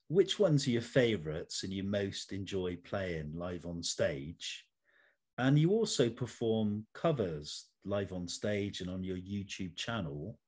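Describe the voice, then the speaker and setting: A man hosting an interview, asking conversational questions in measured, deliberate phrases. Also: sits low, a little mellow, slightly rough. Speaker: interview show host.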